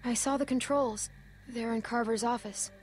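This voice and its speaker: A woman playing a young girl in a survival game drama. The voice is fairly high, soft and earnest, brave and sensible, with music behind it. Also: steady tone, moderate pace, lightly acted. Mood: matter-of-fact. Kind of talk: dramatic video game acting.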